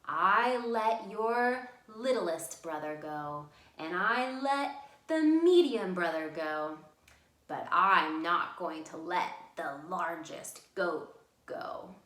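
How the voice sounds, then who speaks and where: A big hungry cave troll from a children's storybook, acted out by a woman, bellows slowly and bossily. The voice is loud and menacing, ringing in an echoey room. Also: lowish voice, animated. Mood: stubborn, threatening.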